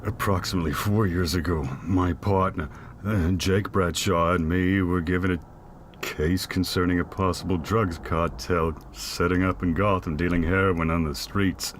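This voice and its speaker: An actor playing a guilt-ridden, panicky, hard-drinking police detective who speaks haltingly in an audio drama. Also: male voice, low voice, fairly even intonation, a little hiss or hum, lightly acted. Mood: weary, confiding. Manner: halting, stammering.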